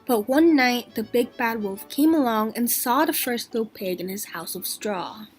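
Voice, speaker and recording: A woman's voice: fairly high pitch, moderate pace. A young woman, a student, reads a scripted narration for a school project. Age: teens to young adult. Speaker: student presenter. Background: background music.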